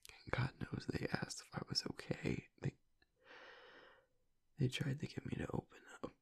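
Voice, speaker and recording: A man playing a tough young werewolf pack alpha broken by grief in an intimate audio roleplay, halting and choked up, with a breathy, raspy voice. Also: close to an everyday voice. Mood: burdened, strained. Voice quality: breathy, raspy whisper with gravelly breaks. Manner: halting, fragmented, swearing under strain. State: choked up, grieving.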